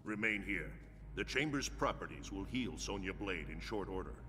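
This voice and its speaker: An actor playing a solemn, commanding immortal being with a grave, formal manner in a video game. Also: male voice, low voice, expressive, moderate pace. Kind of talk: video game cutscene acting. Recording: long reverb under clear music.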